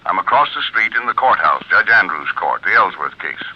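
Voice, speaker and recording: Casting: hurried senior lawyer, deep voice, rapid insistent instructions, muffled period radio sound. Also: male voice, animated, breathy edge. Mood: brisk, hurried. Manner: businesslike, insistent. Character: brisk, busy male lawyer boss giving orders. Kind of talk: 1940s radio drama acting. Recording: dull old radio broadcast recording.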